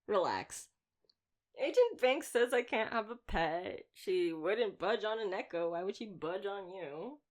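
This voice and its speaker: A woman's voice: mid-range voice, animated, unhurried, close to an everyday voice. A woman playing a wry, playfully menacing young vampire slayer in an ASMR roleplay monologue, chatty, teasing and theatrical. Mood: amused, teasing.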